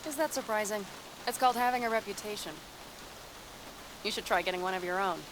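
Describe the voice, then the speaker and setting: A woman's voice: mid-range voice, a little fast, noisy background, natural voice. A woman voicing a blunt, sarcastic nomad smuggler. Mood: curt. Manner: curt, sarcastic, guarded. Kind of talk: video game cutscene acting.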